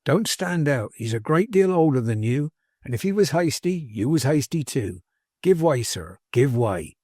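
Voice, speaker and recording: A man's voice: medium pitch, very animated, normal speed, dark tone, dry recording. Storybook role read by a man: plain-spoken working-class servant lad, loyal and fervent, punchy emphasis, dry studio sound. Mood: earnest urging. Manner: emphatic, colloquial, ungrammatical, insistent. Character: cheery working-class young manservant, loyal, bold, scornful orator. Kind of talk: dramatised audiobook character dialogue.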